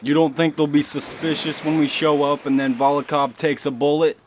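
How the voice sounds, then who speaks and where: A man's voice: a little high, level tone, moderate pace, a little hiss or hum, close to an everyday voice. A man playing a thick-skulled bodybuilder henchman, casual and plain-spoken, in a crime radio drama. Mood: doubtful. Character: thick-skulled bodybuilder mob henchman, doubtful but obedient.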